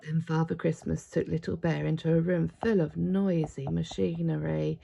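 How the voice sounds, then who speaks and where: A woman's voice: deep voice, level tone, normal speed, creaky, dark tone, breathy edge. A woman reading a children's picture book aloud expressively, with dramatic emphasis on key words. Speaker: storybook reader.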